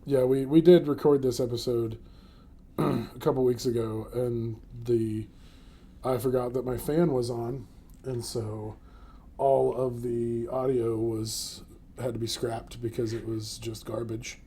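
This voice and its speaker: Male podcast host, mellow and warm, jokey and rambling, faint hiss behind. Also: mid-range voice, flat delivery, measured pace. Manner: joking, filler-heavy, laughs often. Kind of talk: casual comedic podcast chat.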